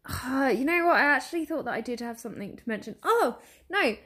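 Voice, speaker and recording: A woman's voice: high voice, expressive, brisk, bright, forceful tone, slightly rough. Chatty woman. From southeast England. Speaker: podcast co-host. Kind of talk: casual chat.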